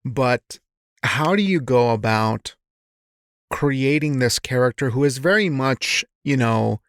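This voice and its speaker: A man's voice: medium pitch, animated, slow, a bit bright, no echo. A man hosting a casual interview, speaking in an encouraging, affirming way. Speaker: podcast host, interviewer.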